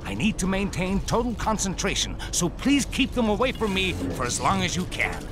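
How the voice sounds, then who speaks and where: An actor voicing a solemn, formal superhero who speaks deliberately in a video game. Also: male voice, high-pitched, sing-song, over loud music. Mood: intent.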